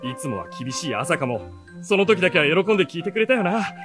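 An actor playing an earnest seventeen-year-old bookish runaway who narrates and speaks in a radio drama. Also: male voice, a little high, fairly quick, over music. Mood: self-reproachful, then resolved. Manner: expressive, earnest storytelling.

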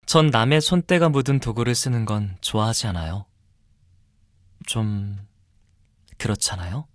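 A man voicing a polite, fastidious young man in a mobile game, softly and intimately devoted with a possessive streak. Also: on the low side, no room sound, studio quality, close to an everyday voice. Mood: cool, displeased. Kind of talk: mobile game character voice lines.

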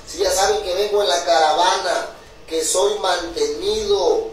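Young guy talking to his phone, high voice, really echoey and far off, bit of noise. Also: measured pace. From Honduras. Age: young adult. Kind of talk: casual rant to camera. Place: small bare room indoors. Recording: echoey, distant, noisy phone video.

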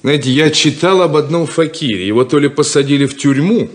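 An actor playing a stern, skeptical elder son who runs a farm, curt and sarcastic, in a 1970s Soviet radio play. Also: male voice, on the high side, brisk, natural voice.

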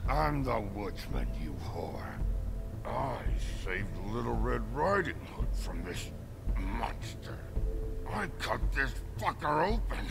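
A burly, brutish woodsman villain from a video game, snarling and gravelly. His menacing voice swings widely and drags slowly, with music behind it. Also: medium pitch, big pitch swings, full character voice.

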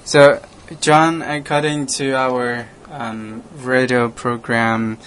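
A man from Laos, a native Lao speaker, hosting a radio talk and speaking haltingly with many restarts. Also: medium pitch, flat delivery, a bit bright. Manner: halting, hesitant, frequent restarts, laughs often. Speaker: radio program host, monk. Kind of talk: casual conversation in English.

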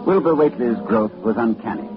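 An actor playing a grave, urgent professor narrating horror in a formal, portentous 1940s radio style. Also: male voice, on the low side, lively intonation, a little fast, music in the background, close to an everyday voice. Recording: muffled 1940s radio recording.

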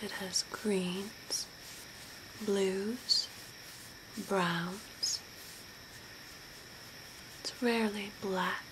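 Slow whispering zombie makeup artist played by a woman. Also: medium pitch, steady tone. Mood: soft, attentive. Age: young adult. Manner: whispering, slow, unhurried with long pauses. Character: soft-spoken zombie woman makeup artist, attentive, macabre. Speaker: ASMR performer. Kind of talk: whispered ASMR role play. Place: small curtained room indoors. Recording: noticeable background hiss and ambience.

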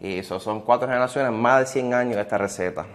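A man, a restaurant owner answering interview questions in a relaxed way, dropping in English words. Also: mid-range voice, quick pace. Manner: relaxed, many "ah" fillers, mixes in English words. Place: indoors at a restaurant bar.

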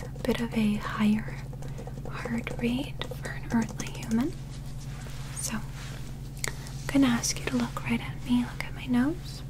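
A young woman whispers softly in an ASMR roleplay, playing an alien medical examiner. Her delivery is slow and very even, with little rise or fall. She sounds gentle, methodical and reassuring, close to the microphone. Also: a little high, low-quality recording.

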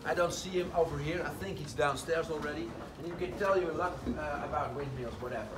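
A man, telling a tour group a lively story inside a large wooden sawmill. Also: fairly high pitch, quick pace. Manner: lively storytelling, slightly broken English phrasing. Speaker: windmill guide. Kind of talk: guided tour talk. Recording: distant voice with noisy background.

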